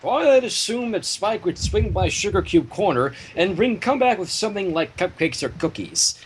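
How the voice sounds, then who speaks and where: A man reads a fan story aloud in an animated, swinging delivery, dropping in casual asides. The recording carries faint noise and small clicks. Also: high-pitched, moderate pace. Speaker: fan fiction reader and streamer.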